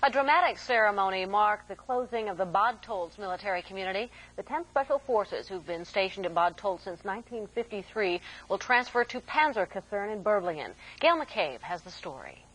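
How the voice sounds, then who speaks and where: An American woman working as a news anchor, reading scripted news in a formal, measured announcer delivery. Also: medium pitch, lively intonation, fairly bright tone, slightly rough, rough recording. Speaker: military network news anchor.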